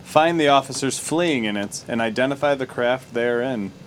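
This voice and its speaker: A man chatting about a game in a casual, dry-humored way, with a mid-pitched voice and a faint noise underneath. He teases his friend and reads out mission text now and then. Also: normal speed. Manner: dry, teasing. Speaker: co-commentator watching a game. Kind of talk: casual let's-play commentary.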